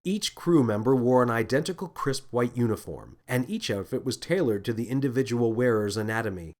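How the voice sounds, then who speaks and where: A man reads a children's story as narrator. His voice sits at a middle pitch and moves expressively up and down, with deliberate, scripted storytelling. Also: expressive, darkish tone. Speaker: author and narrator.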